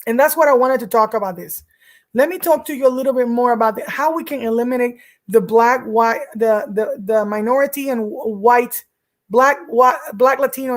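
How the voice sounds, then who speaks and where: Earnest woman vlogging. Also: fairly high pitch. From Puerto Rico. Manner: earnest, emphatic, repeats words. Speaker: vlog host. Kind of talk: casual talk to camera.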